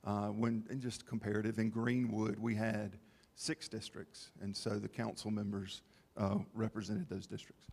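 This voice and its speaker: A man presenting at a town information session, explaining to the public in a halting, plain-spoken way. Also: fairly low pitch, little pitch movement, creaky, darkish tone, slight background noise. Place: town meeting hall. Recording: long reverb of a meeting hall.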